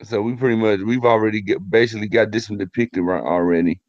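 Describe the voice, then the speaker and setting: A low-voiced man hosts a casual stream, thinking aloud in a loose, conversational way. The audio is dull and lo-fi, and dry with no echo. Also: steady tone, fast, a little mellow. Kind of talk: casual live-stream discussion.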